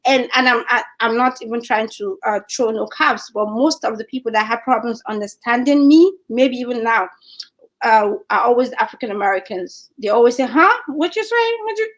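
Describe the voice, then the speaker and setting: Nigerian woman, young adult, animated chat guest, higher voice, laughs a lot. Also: normal speed, low-quality recording. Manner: animated, laughs often, repeats words. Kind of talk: casual chat.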